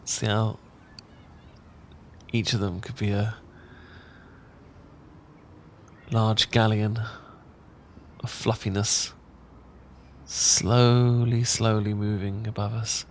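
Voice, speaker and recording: A British man playing a shy, tender roommate in a romantic ASMR audio roleplay, speaking in a gentle, halting, earnest way. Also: lowish voice, flat delivery, a little hiss or hum. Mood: dreamy, fond. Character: shy, tender male roommate confessing love.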